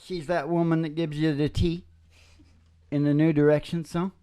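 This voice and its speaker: A man's voice: a little high, level tone, moderate pace, warm tone, clean tone. A man hosting a live radio show with rambling, folksy, playful chat, often repeating his phrases. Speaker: radio show host and singer. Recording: guitar music behind the voice. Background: acoustic guitar.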